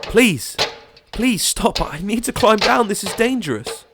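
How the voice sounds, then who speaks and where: A man's voice: high voice, animated, measured pace, background noise, pitched above the performer's own voice. A man voicing a harassed young workman up a ladder, tense and pleading.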